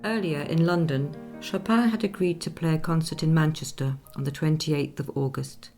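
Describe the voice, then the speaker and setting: An actress playing a woman who recounts a composer's life in measured, informative radio-play narration. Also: low-pitched, music in the background. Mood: composed.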